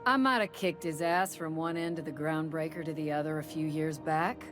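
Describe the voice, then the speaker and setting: A woman's voice: low-pitched, moderate pace, soft background music, lightly acted. A woman voicing a blunt female commandant who hands out bounties in a video game. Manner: blunt, folksy. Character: gruff female commandant, vengeful bounty giver.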